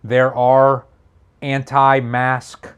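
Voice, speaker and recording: A man co-hosting a casual chat show, with a slightly raspy voice. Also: mid-range voice, monotone, extremely slow, fairly bright tone. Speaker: show co-host reading viewer messages.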